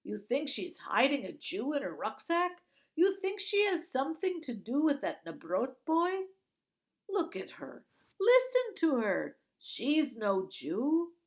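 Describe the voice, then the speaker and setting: A woman voicing a scoffing rough-bearded guard. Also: medium pitch, big pitch swings, slow, voice pushed up, exaggerated voice. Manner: scoffing, dismissive. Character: rough-bearded gruff male guard, dismissive.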